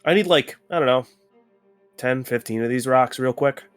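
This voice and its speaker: A man's voice: mid-range voice, normal speed, smooth, no room sound, faint music behind. A man talking casually as a player in a tabletop role-playing game.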